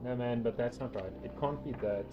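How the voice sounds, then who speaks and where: A man's voice: medium pitch, a little fast, lo-fi sound. A man running a tabletop game as game master, talking casually and somewhat hesitantly as he narrates and makes rulings. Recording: background music under game talk. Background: music.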